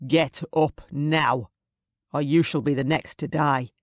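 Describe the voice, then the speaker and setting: A narrator voicing a menacing, commanding Highland warrior chief with imperious, archaic phrasing for an audiobook. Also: fairly even intonation, slow pace, dry recording, studio quality, lightly acted.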